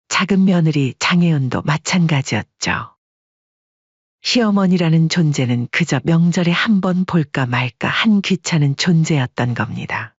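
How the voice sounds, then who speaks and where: A man narrating a family story in calm, measured audiobook style. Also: a little high, husky, dry recording, lo-fi sound.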